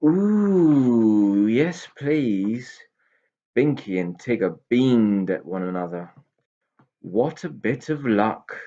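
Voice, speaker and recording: A man's voice: on the low side, animated, unhurried, warm tone, highs cut off. A man narrating a children's story as a storyteller reading aloud. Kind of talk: expressive scripted story narration.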